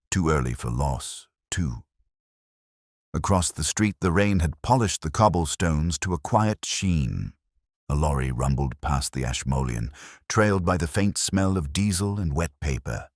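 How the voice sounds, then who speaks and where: An extremely low male voice, close and dry, carries the first-person narration of a detective drama. A man plays a weary, melancholy inspector with a literary turn of mind. His delivery is measured and solemn, yet the pitch moves expressively. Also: no echo, heavily acted.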